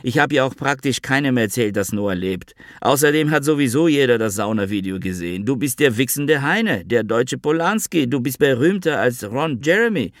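A man voicing a whiny, blustering, fat rich older man who talks in a high, wheedling way. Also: animated, moderate pace, hardly any pauses, dry recording, clean studio sound, close to an everyday voice. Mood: mocking. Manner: whining, wheedling, high and squealing.

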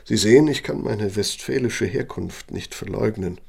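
An actor in a radio play as an aging, self-justifying architect with a Nazi past, speaking deliberately and emphatically in a gravelly, husky voice. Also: male voice, fairly low pitch, darkish tone, exaggerated voice. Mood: genial, boastful. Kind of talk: German radio play monologue and dialogue.

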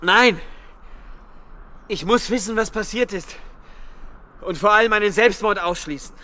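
Insistent, high-pitched military investigator. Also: male voice, measured pace, bright, forceful tone. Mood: confrontational. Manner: pressing, formal questioning. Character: insistent male military investigator, urgent and probing. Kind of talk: naturalistic German radio drama acting.

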